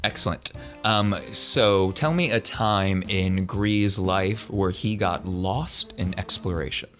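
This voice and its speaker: A man with a fairly low voice hosts a tabletop role-playing podcast. He speaks as the game master, with faint music underneath. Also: takes it slowly, a bit bright. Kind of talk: hosting an actual-play tabletop RPG podcast.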